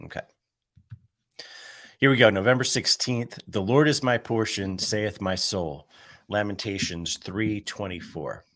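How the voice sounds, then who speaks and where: A man who is under the weather with a cold, reading a daily devotional aloud. He pauses between passages to share relaxed, conversational thoughts. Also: lowish voice, no echo. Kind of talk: devotional reading with casual commentary.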